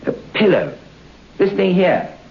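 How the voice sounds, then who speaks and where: An actor playing a restless, romantic writer who muses haltingly aloud in an old-time radio drama. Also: male voice, high-pitched, huge pitch swings, gravelly, breathy edge, full character voice. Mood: genial, curious. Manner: musing aloud, halting, talking to himself. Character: restless English writer on holiday, romantic, brooding. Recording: muffled noisy old radio broadcast.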